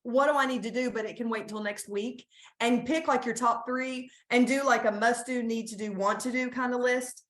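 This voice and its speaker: A woman's voice: on the high side, fairly even intonation, normal speed. A woman gives an earnest, encouraging talk to teachers over a laptop webcam mic. She often restarts her sentences as she thinks aloud. The sound has a roomy echo from her home room. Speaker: school staff presenter. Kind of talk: conference talk on a video call.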